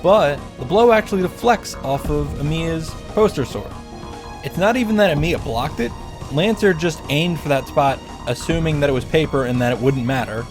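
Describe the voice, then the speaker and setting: A man's voice: a little high, animated, moderate pace. A man narrating a story recap in a casual, wry way with dramatic emphasis. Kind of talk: casual scripted narration of a visual novel recap. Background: background music.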